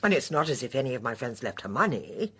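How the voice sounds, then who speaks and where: An actress playing a sharp, inquisitive old lady in a radio drama, with a husky voice and genteel, probing manner. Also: very deep voice, very animated, fast talker, heavily acted. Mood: thoughtful. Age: older.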